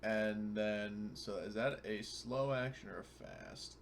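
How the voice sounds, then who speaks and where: A man's voice: fairly low pitch, takes it slowly, vocal fry, slight background noise. A man playing a tabletop roleplaying game, chatting casually and talking through his moves step by step.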